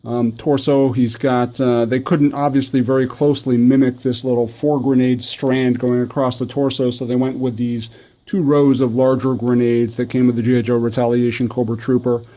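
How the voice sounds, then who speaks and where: A man's voice: mid-range voice, level tone, moderate pace, warm tone. A middle-aged man giving a casual, conversational video review, talking in a rambling enthusiast's way. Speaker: toy review host.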